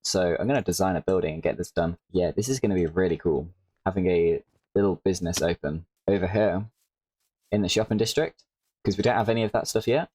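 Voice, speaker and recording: A man with a very low voice and a slight hoarseness talks through his gameplay in a relaxed, rambling way, often adding "like" and correcting himself. He sounds close to the mic in a dry room. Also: brisk, no echo, poor audio. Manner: rambling, self-correcting, with frequent "like". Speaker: Minecraft creator. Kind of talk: casual gameplay commentary.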